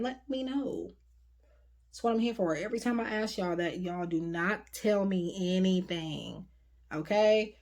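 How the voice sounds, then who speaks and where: Chatty tarot-reading woman, slightly hoarse. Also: medium pitch. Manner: chatty, rambling, frequent fillers. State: voice getting hoarse. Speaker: tarot reader. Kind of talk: casual tarot reading to camera.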